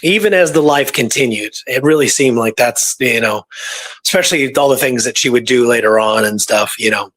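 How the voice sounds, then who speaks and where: A man's voice: medium pitch, fairly quick, fairly bright tone. A man hosting a history podcast, conversational and speculative, reading from old records and often checking in with his listener. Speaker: podcast host presenting historical research.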